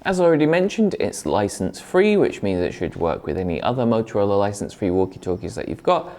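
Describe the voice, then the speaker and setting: A man's voice: lowish voice, big pitch swings, quick pace, a little mellow. A man presenting a product review in a conversational, explanatory way, partly scripted.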